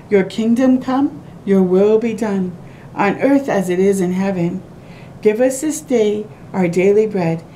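A middle-aged woman reads a children's picture book aloud in a mellow, rounded voice. She speaks in simple, sing-song phrases aimed at small children and adds little asides. The home recording has noticeable background noise and a low rumble. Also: poor audio. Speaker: children's storytime reader. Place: small room at home, by a window.

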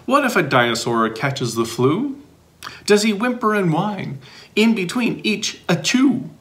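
A man's voice: high voice, big pitch swings, unhurried, a bit bright. A middle-aged man reading a children's picture book aloud expressively, with sing-song questions and playful asides. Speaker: volunteer storybook reader.

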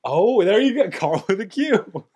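A young man hosting a comedy podcast in a small home studio, talking on a boom mic in a playful, joking way. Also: big pitch swings, unhurried, slightly rough, no echo. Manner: playful, jokey, bursts of loud laughter. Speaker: podcast host. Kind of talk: casual comedic chat. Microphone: studio mic on boom arm.

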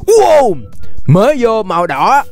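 A playful, chatty young man giving animated gameplay commentary straight to his viewers. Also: high-pitched, takes it slowly, creaky. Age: young adult. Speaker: gaming video host. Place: indoor gaming setup. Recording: music behind the voice. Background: music.

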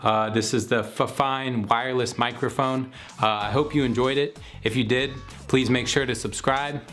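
A young man talks through a product review in a relaxed, conversational way. His voice sits at a middling pitch. He is recorded on a camera mic in a small home room, with music in the background. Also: normal speed. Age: young adult. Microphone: camera microphone at about arm's length or a little more.

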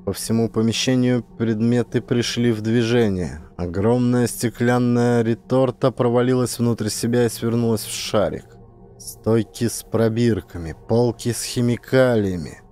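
Mellow male audiobook narrator. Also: mid-range voice, fairly even intonation, unhurried, faint music behind. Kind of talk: dramatic scripted story narration.

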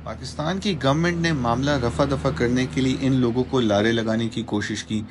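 A man narrating a travel vlog in a calm, informative scripted voice-over. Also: medium pitch, fairly quick, noisy background. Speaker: travel vlogger. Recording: voice-over with loud background music.

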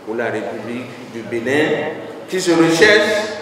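A middle-aged man, a city mayor and party leader, giving a slow, deliberate political statement into reporters' handheld microphones at a large rally hall. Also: mid-range voice, very animated, sharp tone, recorded from a distance. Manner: slow, deliberate, emphatic with repetitions. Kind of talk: formal political statement to press. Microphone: handheld reporter microphones held close. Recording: echoey hall, noisy crowd ambience.

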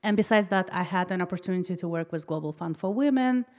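A woman's voice: on the low side, animated, fast talker, darkish tone. A young woman from Kazakhstan speaking earnestly and talkatively off the cuff into a handheld microphone from the audience. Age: young adult. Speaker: audience member asking a question. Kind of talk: impromptu, personal audience question. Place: large hall, seated in the audience. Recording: reverberant hall, handheld mic.

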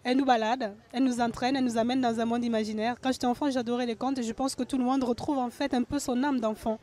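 A woman's voice: fairly high pitch, steady tone, fast, mellow. A young woman interviewer, upbeat and chatty, speaking outdoors on a handheld mic. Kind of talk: web TV interview. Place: outdoor festival under a tent.

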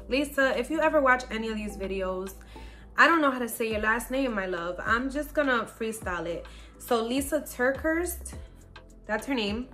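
A woman's voice: a little high, fairly bright tone. A woman about thirty talking casually to camera in her bedroom, upbeat and chatty with many fillers. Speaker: faith lifestyle vlogger. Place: bedroom at home, indoors.

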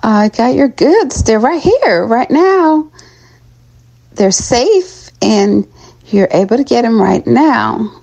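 A woman playing a polite, evasive young woman courier in an audio drama, speaking in a hesitant, halting way. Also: on the high side, expressive, gravelly, mellow, full character voice. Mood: nervous. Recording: music behind the voice.

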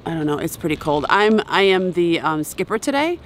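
A woman's voice: lowish voice, big pitch swings, a little fast, slight background noise. A middle-aged woman vlogging on a sailboat, speaking upbeat and directly to her viewers about the day's sail. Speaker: vlogger, day skipper. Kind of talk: casual vlog chat. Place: on a sailboat in a marina. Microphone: camera at arm's length.

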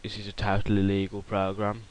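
Low-voiced young man explaining software. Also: monotone, brisk. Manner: rambling, informal, with many fillers and restarts. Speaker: software tutorial host. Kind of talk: casual screen-recorded tutorial.

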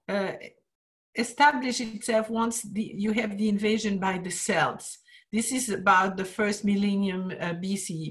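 A woman of middle pitch lecturing over a slideshow, unhurried and explanatory. She pauses often on "uh" while searching for words, and her voice drops away at the ends of phrases. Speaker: art history lecturer. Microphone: screen-share talk, webcam in corner.

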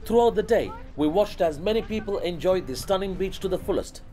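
A man giving a polished, scripted voice-over for a family travel vlog, with an even, descriptive delivery. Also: high voice, fairly quick, over music.

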